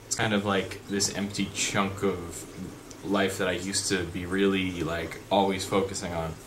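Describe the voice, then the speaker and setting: A young man with a deep voice chatting unscripted in a vlog, rambling with fillers and restarts. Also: little pitch movement, unhurried. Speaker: vlogger. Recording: background noise.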